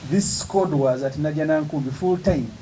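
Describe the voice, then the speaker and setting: A man, an opposition politician giving an emphatic, measured press statement with pauses between phrases. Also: on the high side, warm tone, slight background noise.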